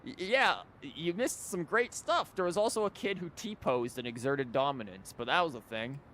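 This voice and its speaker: Wry man streaming over rumbly noise. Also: normal speed, fairly bright tone. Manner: wry, rambling, mock-quoting. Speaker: game livestreamer. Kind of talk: casual live game commentary.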